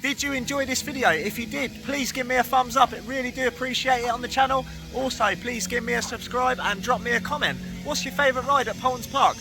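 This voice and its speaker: A man's voice: extremely high pitch, a little fast, few pauses, over music, low-quality recording. Chatty man with a chesty cold. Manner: chatty, tired. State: hoarse with a cold. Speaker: vlogger. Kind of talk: casual vlog to camera. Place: outdoors at a theme park in the evening.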